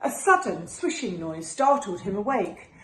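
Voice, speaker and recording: A woman reading a children's storybook aloud as an expressive narrator. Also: mid-range voice, measured pace. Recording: roomy echo with faint background noise.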